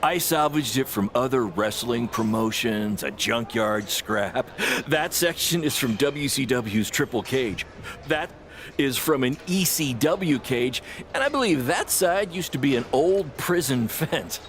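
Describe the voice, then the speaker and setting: Excitable male wrestling promoter, very animated. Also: medium pitch, unhurried. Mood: proud, upbeat. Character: excitable fast-talking male wrestling promoter, proud and cautious. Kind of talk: video game cutscene acting. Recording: clear background noise.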